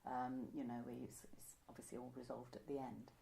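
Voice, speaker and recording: A woman's voice: a bass voice, monotone, brisk, vocal fry, mellow, low-quality recording. A woman. She talks informally as an interviewee, looking back chattily and often correcting herself as she goes. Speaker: interviewee, amateur pantomime writer. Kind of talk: casual reminiscing interview. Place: indoor room by a window, seated.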